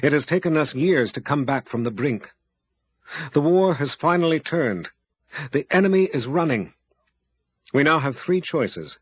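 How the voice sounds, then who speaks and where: A man's voice: a little high, animated, a little slow, pauses often, breathy edge, dry recording, voice pushed up. A man voicing a stern, powerful male wizard with firm, weighty speech. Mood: commanding.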